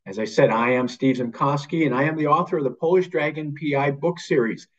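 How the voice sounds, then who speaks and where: Older man, show host, scripted introduction, slightly high voice, muffled video-call recording. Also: a little fast.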